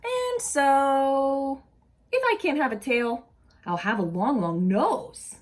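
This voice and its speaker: Woman, higher-pitched and slow-paced, for an animated children's read-aloud with simple questions to young listeners. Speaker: early childhood educator reading aloud. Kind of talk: expressive picture-book read-aloud with asides to young children.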